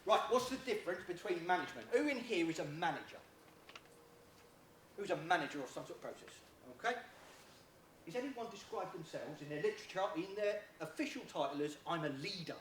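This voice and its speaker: A middle-aged man giving an energetic conference talk, firing questions at the audience. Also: on the high side, fairly quick, a little hiss or hum. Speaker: conference speaker. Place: conference hall.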